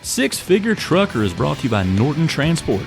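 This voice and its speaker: Lively male sponsor announcer over music. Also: on the high side, moderate pace, vocal fry. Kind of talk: scripted sponsor read.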